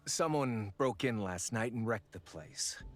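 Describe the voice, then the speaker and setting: An actor voicing a worried, practical gallery manager in a video game, speaking in an earnest, admonishing way. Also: male voice, fairly low pitch, animated, a little slow, faint music behind. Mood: concerned.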